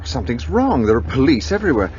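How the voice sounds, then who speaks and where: A man's voice: medium pitch, sing-song, fast talker, a little mellow, dull audio, a little hiss or hum, natural voice. A man playing an eager, alarmed companion. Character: eager romantic English companion, adult man.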